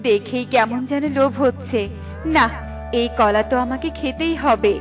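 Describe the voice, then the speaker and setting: A woman's voice: fairly high pitch, moderate pace, music in the background, natural voice. A woman voicing a gracious, generous fairy who speaks appreciatively in a cartoon fairy tale. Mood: delighted.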